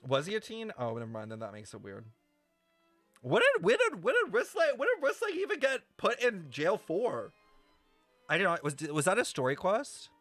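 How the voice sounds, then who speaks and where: Animated, higher-pitched young male streamer. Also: normal speed, dry recording, faint music behind. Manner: expressive, slangy, thinks aloud. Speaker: streamer reacting to a game. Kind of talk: casual reaction commentary.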